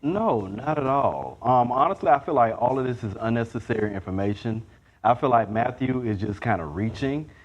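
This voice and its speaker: A man with a slightly husky voice giving informal, conversational opinions as a quiz show contestant. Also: medium pitch, expressive, normal speed, highs cut off. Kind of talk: casual opinionated culture chat.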